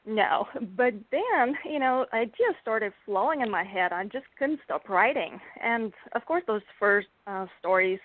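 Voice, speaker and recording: A woman's voice: mid-range voice, no echo. A woman from Bulgaria, a native Bulgarian speaker, chatting as a guest author in an interview, laughing often and filling gaps with ums. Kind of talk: casual interview chat. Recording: dry tinny phone-like line.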